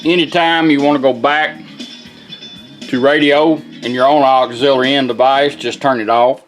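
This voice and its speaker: A man with an average-pitched voice shows off a product in a casual, hands-on way. He talks plainly and informally, in a folksy manner. Faint music plays from a radio behind him. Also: steady tone, normal speed. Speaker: product demonstrator, radio restorer.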